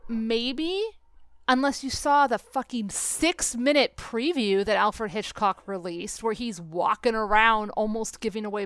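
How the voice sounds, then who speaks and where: A woman podcast host chats casually in a clear, smooth voice, recorded close and dry. Her delivery is animated and sarcastic, with swearing thrown in freely. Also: mid-range voice, no room sound. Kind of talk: casual podcast chat about a film and book.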